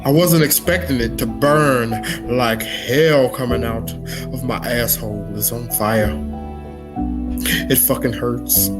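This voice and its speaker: A man's voice: mid-range voice, steady tone, takes it slowly, over loud music, lightly acted. Man putting on a solemn, overly dramatic narrator. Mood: grave, dramatic. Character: solemn dramatic narrator parodying a famous actor, recounting a mishap.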